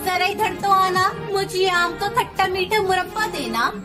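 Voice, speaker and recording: A friendly female bird, voiced by a woman in a children's cartoon. The voice is very high-pitched. Music is clearly heard behind it. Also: unhurried. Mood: calling out. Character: friendly female bird neighbour or market customer. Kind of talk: Hindi cartoon dialogue.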